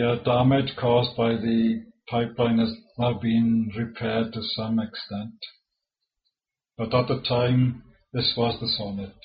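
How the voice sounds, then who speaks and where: Welsh man, native Welsh speaker, poet reading his own verse slowly and deliberately, dull lo-fi sound. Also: mid-range voice. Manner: slow and measured, with halting asides between poems.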